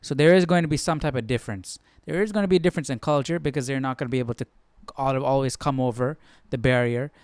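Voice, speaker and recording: A man's voice: medium pitch, fast talker, hardly any pauses, smooth, dry recording, clean studio sound. A man giving casual, off-the-cuff commentary as host of a Bible study, questioning and conversational with repeated phrases.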